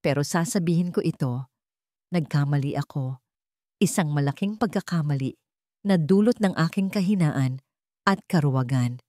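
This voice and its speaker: A woman voicing a remorseful male ex-lover making a solemn, halting confession in a dramatic story. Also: sits low, dark tone, dry recording, studio quality, lightly acted. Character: remorseful male ex-lover pleading in a televised interview.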